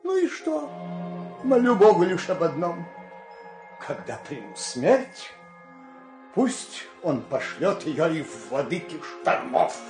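A man's voice: on the high side, big pitch swings, takes it slowly, darkish tone, echoey room, faint music behind, heavily acted. An older man acting a proud, weary aging king in a theatrical, declamatory, imperious manner in an audio fairy tale. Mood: resigned. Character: proud aging king, commanding and weary, resigned to die fighting.

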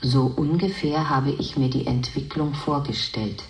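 Slow, echoing female station computer. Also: very deep voice, darkish tone, soft background music. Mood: calm, detached. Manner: measured, matter-of-fact, repeats and corrects phrases. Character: calm, all-knowing female station computer named Edith. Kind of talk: radio drama acting. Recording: echoing, reverberant radio play voice.

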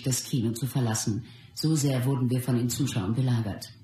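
A woman's voice: a bass voice, warm tone, slight background noise, low-quality recording. A woman playing a close companion who narrates a star's life story in a scripted radio play.

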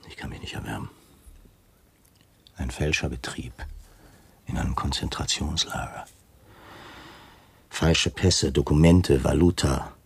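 A man's voice: a bass voice, a little slow, gravelly, long pauses, slightly rough, whispered, exaggerated voice. An actor playing a stiff, self-important, hesitant male camp commandant in a German radio play. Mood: sceptical, reluctant. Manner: solemn, pompous, hesitant.

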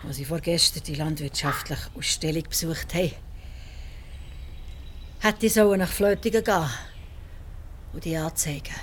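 An older actress with a Swiss accent playing a proud, unsentimental village widow in a radio drama, speaking in terse statements. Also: female voice, low-pitched, fairly even intonation, moderate pace, long pauses, a little hiss or hum, lightly acted. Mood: bitter, resigned. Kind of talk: radio drama acting in Swiss-coloured German.

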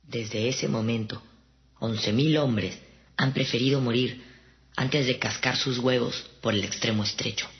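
An actor playing a solemn citizen of a tiny kingdom reciting old history in a declamatory way for a radio drama. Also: male voice, medium pitch, steady tone, measured pace, slight background noise, close to an everyday voice. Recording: dull, muffled sound.

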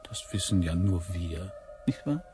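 Sly, low-voiced court secretary. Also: male voice, expressive, moderate pace, music in the background. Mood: sly, unruffled. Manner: dry, smooth-talking, ironic. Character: shrewd, unruffled, sly male court secretary. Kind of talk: radio play acting.

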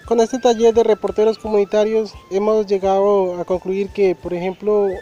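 A man's voice: high-pitched, flat delivery, moderate pace, dark tone, muffled recording. A man from Colombia working as a community radio reporter, asking plain interview questions.

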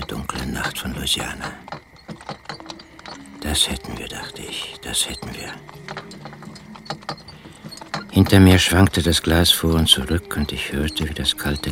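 An actor playing a brooding country man who narrates an eerie radio play, deliberate and hushed, often sinking toward a whisper. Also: rumbling low, gravelly, noisy background, full character voice. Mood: brooding, tense. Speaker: first-person narrator.

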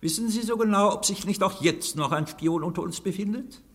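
Booming-hall, high-pitched imperious anarchist leader. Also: male voice, brisk. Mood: scornful. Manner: theatrical, imperious, biting. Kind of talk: German radio play acting. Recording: long hall-like reverb.

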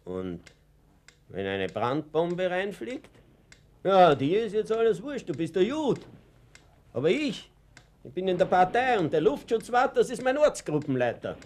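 A man's voice: high-pitched, very animated, measured pace, darkish tone, a little hiss or hum. An actor with an Austrian accent playing an anxious, guilt-ridden middle-aged father and reluctant party member in a radio drama, speaking in a hesitant, colloquial way. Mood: agitated, fearful. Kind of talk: naturalistic radio drama acting.

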